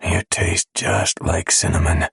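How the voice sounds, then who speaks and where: A woman narrator voicing a rough, possessive male lover with a low, husky rasp and a slow, commanding murmur. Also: level tone, dry recording, full character voice.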